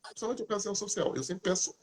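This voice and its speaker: A man hosting a casual live talk, chatty and lively, asking questions and answering them himself. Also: sits high, very fast, husky. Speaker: host. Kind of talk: casual live talk giving diet tips.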